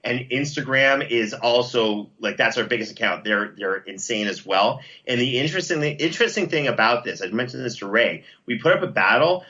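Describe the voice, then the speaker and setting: A man's voice: medium pitch, lively intonation, a little fast, few pauses, sharp tone, lo-fi sound. A man chatting casually as an interview guest, enthusiastic and effusive about what he talks about. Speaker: podcast producer and guest.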